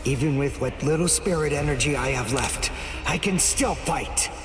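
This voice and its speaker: An actor in an English anime game dub playing a proud, determined young archer who sounds analytical and resolute. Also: male voice, a little high, normal speed, noisy background, close to an everyday voice. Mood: grim resolve. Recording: echoey, music behind.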